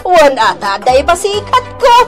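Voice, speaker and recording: A woman's voice: high-pitched, very animated, a little slow, over music. An actress in an Ilocano radio drama playing a vain, boastful woman who talks in exclamations. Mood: boastful, pleased.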